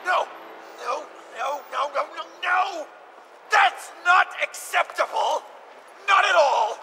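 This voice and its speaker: An actor voicing a manic, indignant clownish villain who believes himself a hero, with theatrical, emphatic delivery in a video game. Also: male voice, extremely high pitch, lively intonation, takes it slowly, sharp tone, recorded from a distance, a faint crowd, a little hiss or hum, full character voice. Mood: outraged.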